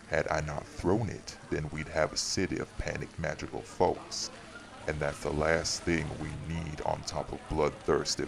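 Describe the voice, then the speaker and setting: An extremely low male voice with plenty of gravel, a man acting a vampire who rules a city. He is measured and wry, weary yet protective, with dry teasing in an audio drama. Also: full character voice. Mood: stern, defensive.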